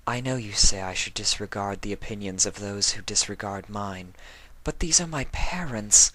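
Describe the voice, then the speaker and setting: A woman's voice: very deep voice, normal speed, pushed and bright, voice pushed up, heavily acted, rough recording. A narrator voicing a refined, anxious young female pony with formal, proper diction in a fan fiction drama. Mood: apologetic, sad.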